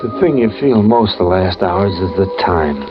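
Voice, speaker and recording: A man's voice: deep voice, lively intonation, moderate pace. An actor playing a lonely, fearful condemned man, narrating his last hours in plainspoken, brooding first person for a vintage radio drama. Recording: muffled old radio recording with music.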